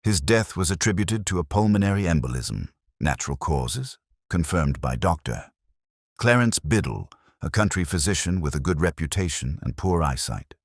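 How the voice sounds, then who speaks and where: A brisk Victorian detective as a male audiobook reader plays him, coolly analytical and precise. The voice is very low and moves expressively, recorded dry. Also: lively intonation, no room sound.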